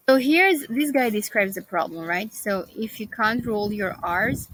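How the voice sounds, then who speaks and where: A woman's voice: mid-range voice, fairly bright tone, slight background noise, poor audio. A woman teaching copywriting in a screen-share tutorial, musing aloud and reading search results with frequent fillers.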